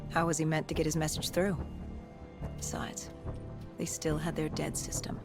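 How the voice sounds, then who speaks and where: An actor playing a grim, battle-worn cybernetic soldier, recounting humanity's fall in brooding, measured game narration with a gravelly edge. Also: medium pitch, monotone, over music, natural voice. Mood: tense, matter-of-fact. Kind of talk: scripted dramatic game narration.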